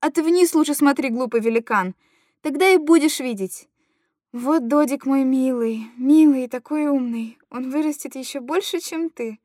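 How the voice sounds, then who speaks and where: Lady acting a tender anguished mom cooing to her little kid, pretty high voice, dry close sound, literary monologue. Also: moderate pace, darkish tone, no echo, close to an everyday voice. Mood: tender, aching. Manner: cooing, repetitive, childlike storytelling to a small child. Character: anguished tender young mother soothing her little boy. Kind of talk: dramatic literary monologue reading.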